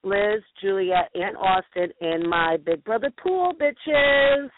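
A woman hosting a casual talk show, deep-voiced and bubbly, gushing and peppering her talk with "like". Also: lively intonation, slow, a little hiss or hum. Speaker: host. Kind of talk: casual chat show banter. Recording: tinny phone-line radio sound.

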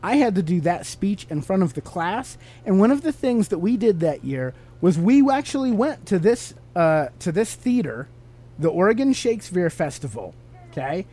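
A man's voice: sits high, very animated, moderate pace, dark tone. A man with an American accent co-hosting a podcast in a casual, teacherly chat, asking questions and explaining things simply to a child. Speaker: podcast co-host.

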